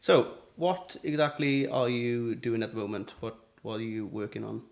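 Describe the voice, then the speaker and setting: A man's voice: mid-range voice, fairly even intonation, normal speed, creaky. Rambling male podcast host. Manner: friendly, rambling questions with fillers. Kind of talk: casual interview chat.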